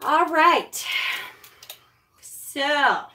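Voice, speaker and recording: A woman chatting loudly and cheerfully with viewers of her live stream from a small room at home, standing away from the camera. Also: sits high, expressive, very slow. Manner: loud, chatty, upbeat. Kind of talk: casual live-stream chat greeting viewers. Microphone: camera set a few feet away. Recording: voice a little distant from the mic.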